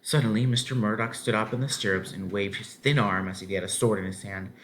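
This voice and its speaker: A man narrating a children's novel aloud in an expressive storybook style. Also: fairly low pitch, fast, slight background noise.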